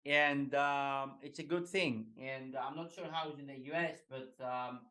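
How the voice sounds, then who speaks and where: A man in his forties from Greece, talking as a guest in a casual interview over a video call, relaxed and halting, with many fillers and light laughs. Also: medium pitch. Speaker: guest, executive coach.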